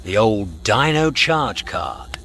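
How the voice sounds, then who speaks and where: A man in a radio comedy drama playing a cocky, impulsive galactic travel writer, breezy, slangy and excitable. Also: fairly low pitch, takes it slowly, slight background noise, heavily acted.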